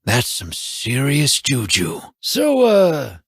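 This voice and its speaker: An actor playing a wry, streetwise male veteran in a video game, teasing and casual with a husky drawl. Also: mid-range voice, wildly swooping pitch, takes it slowly, no room sound, studio quality, exaggerated voice.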